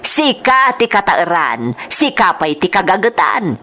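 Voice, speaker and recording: A woman's voice: mid-range voice, big pitch swings, a little slow, sharp tone, full character voice. Woman doing a worried ilocano mom in radio drama, coaxing, voice goes up and down a lot. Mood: concerned, coaxing. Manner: coaxing, fussing. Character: concerned, coaxing village mother of a grown son. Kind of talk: amateur radio-style drama.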